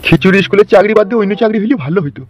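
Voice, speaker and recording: A man's voice: high voice, lively intonation, very fast. A man voicing a sceptical, bargaining village man in a blunt, doubtful way for a comic cartoon. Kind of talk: fast comic cartoon dialogue.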